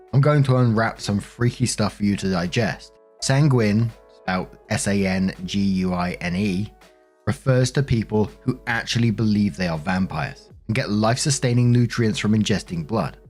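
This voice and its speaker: Male narrator, lowish voice, chatty story-reading host with casual asides, music underneath. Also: darkish tone. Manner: chatty, conversational. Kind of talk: reading online stories aloud with casual commentary.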